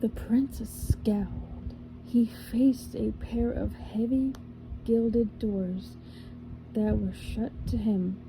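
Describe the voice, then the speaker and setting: A woman with a slightly high voice reads a fairy tale in an unhurried ASMR style, her words drawn out slowly. She sometimes drops to a whisper and corrects her own small slips. Clear background noise sits under the recording. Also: fairly even intonation. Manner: slow, careful reading with small slips and self-corrections. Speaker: ASMR reader. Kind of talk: soft ASMR storybook reading.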